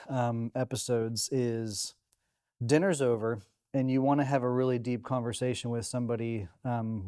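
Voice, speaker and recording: Church podcast host, clear mid voice, chatty and meandering, dry studio sound. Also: male voice, mid-range voice, warm tone, no room sound. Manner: rambling, many fillers, laughs easily.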